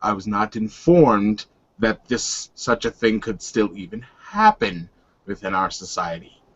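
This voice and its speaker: Through slightly muffled audio comes a proud male werewolf of the tabletop game , voiced by a man. He is low and slow, choosing courtly, formal words with a temper underneath. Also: sing-song, slightly rough, exaggerated voice. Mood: indignant.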